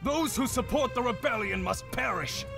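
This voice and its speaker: An actor playing a commanding, ruthless armored enemy soldier in a fantasy game. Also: male voice, extremely high pitch, animated, normal speed, vocal fry, over music, exaggerated voice.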